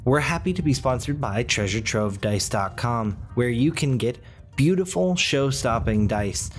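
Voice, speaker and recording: Male podcast host, middle pitch, unhurried in-character ad read over music.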